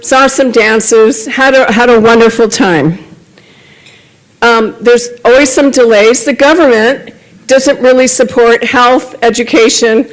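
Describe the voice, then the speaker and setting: A woman's voice: on the high side, low-quality recording. A woman giving a conversational report at a club meeting, speaking from a podium with small self-corrections. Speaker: club member reporting on a grant project.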